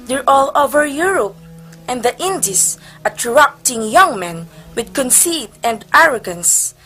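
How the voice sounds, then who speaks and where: A woman's voice: sits high, very animated, a little slow, sharp tone, faint music behind, heavily acted, rough recording. Lady as a snooty suspicious nun in a stage play, takes her time, very up and down. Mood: suspicious, disapproving. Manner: scornful, emphatic stage delivery. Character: haughty, suspicious nun sneering at Teresa's arrogance. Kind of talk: amateur stage play acting.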